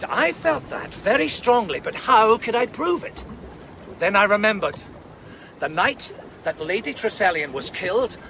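An actor playing an intense amateur sleuth in a radio play, theatrical and deliberate, building suspense. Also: male voice, sits high, full character voice. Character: intense amateur sleuth laying out a murder case. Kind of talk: radio drama acting. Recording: noisy outdoor boat ambience.

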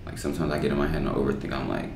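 A young man from Kansas City, Kansas, with a very low voice. He talks in a loose, relaxed way full of fillers as a guest rapper on a podcast. The echoey library room can be heard around him, with a faint hum behind. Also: very fast, gravelly. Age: young adult. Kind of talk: casual podcast interview. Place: library study room, indoors. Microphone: desktop microphone.

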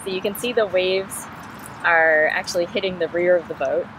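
A woman aboard a sailboat explains things step by step, thinking aloud, in a fairly low voice. Strong wind and water noise sit under her speech throughout. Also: moderate pace. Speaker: sailing vlogger. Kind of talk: casual on-board explanation and narration. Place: on a sailboat deck on a windy river.

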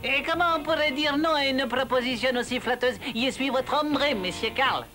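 A flattering cartoon bird star, voiced by a woman. The voice is high and theatrical, full of polite showbiz patter over loud music. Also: moderate pace, lightly acted. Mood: showy. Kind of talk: French cartoon dub.

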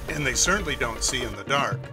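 A man's voice: mid-range voice, expressive, quick pace. A man narrating a nature documentary in an informative, lightly wry way. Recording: narration over loud music. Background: loud music.

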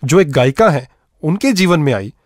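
A man presenting a radio programme with scripted, expressive and measured narration. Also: a little high, no echo, clean studio sound.

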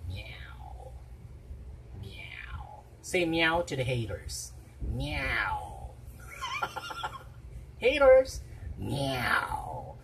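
Animated Filipino man whooping along. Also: medium pitch, very slow, long pauses, slight background noise. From Philippines. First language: a Philippine language other than Tagalog. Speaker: reaction vlogger. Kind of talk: excited music reaction commentary. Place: small room indoors. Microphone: webcam with headphones worn. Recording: distant mic with song playing behind. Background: loud pop music from the video.

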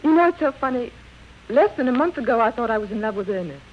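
Actress as romantic, pleading teenage socialite, vintage radio drama, muffled recording. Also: female voice, a little high, expressive, fast, a little hiss or hum, close to an everyday voice. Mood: earnest. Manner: romantic, earnest. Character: lovestruck, pleading society girl of nineteen. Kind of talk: 1930s-40s radio drama acting.

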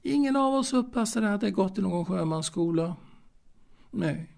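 An actor playing a fastidious, proud waiter and former seaman in an audio drama monologue, confiding in a halting, repetitive way. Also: high-pitched, animated, creaky, darkish tone. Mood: wistful, confiding. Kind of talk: intimate dramatic monologue, told to a listener.

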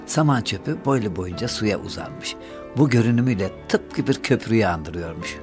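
A man's voice: on the low side, animated, normal speed. A man narrating a scripted children's fairy tale as a storyteller, with music behind him.

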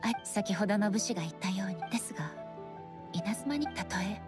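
A woman voicing a solemn, composed female deity and ruler in a video game, speaking formally and calmly. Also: mid-range voice, over music, lightly acted. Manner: formal, measured, calm. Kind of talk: Japanese anime-style game voice acting.

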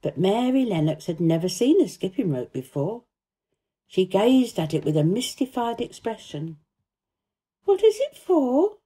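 A woman narrating a children's story as an expressive, scripted reading. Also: medium pitch, moderate pace, slightly rough. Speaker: storybook reader, actor.

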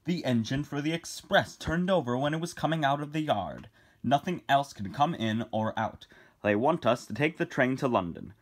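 A man voicing an excited, earnest engine driver explaining things in a storybook reading. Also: mid-range voice, normal speed, lightly acted. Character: excited, brisk working engine driver bringing urgent news.

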